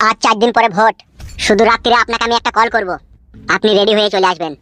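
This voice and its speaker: High, rapid-fire scheming village politician, acted by a woman. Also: fairly even intonation, fairly bright tone, lightly acted. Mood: businesslike scheming. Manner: crude, quick to scold. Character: scheming, hot-tempered village politician hungry for office. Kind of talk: comedy cartoon dubbing.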